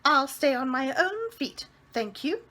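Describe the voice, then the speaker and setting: A woman's voice: animated, a little slow, a bit bright. A woman doing a prim, scandalized old landlady. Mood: indignant. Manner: indignant, prim, shrill when alarmed. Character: prim, fussy older landlady, easily scandalized.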